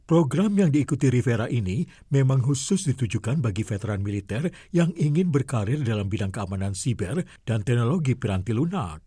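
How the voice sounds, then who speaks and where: Male reporter, husky and dark-toned, scripted report narration, dry recording. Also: mid-range voice, lively intonation, a little fast, dry recording.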